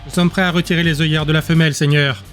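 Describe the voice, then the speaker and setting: A man's voice: fairly high pitch, level tone, quick pace, a bit bright, faint music behind, natural voice. Man as a deferential, uneasy scientist. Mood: tense, dutiful. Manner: deferential, clinical. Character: anxious male scientist reporting dutifully to his lord.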